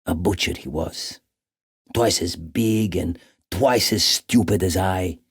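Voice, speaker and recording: Fierce lovesick gladiator for a video game, really low and gravelly, slow and brooding. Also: male voice, full character voice. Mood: grim, fierce. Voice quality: gravelly, husky. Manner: slow, brooding, intense, hushed at times. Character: battle-scarred gladiator champion, lovelorn and fierce, recounting his past. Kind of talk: dramatic first-person monologue for a game.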